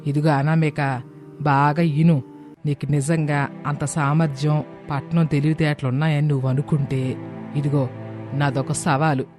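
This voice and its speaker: A man's voice: a little high, level tone, moderate pace, long pauses, darkish tone, lightly acted. A man voicing a stubborn, scornful mother-in-law in a cartoon moral story, taunting and commanding. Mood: scornful, challenging. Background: background music.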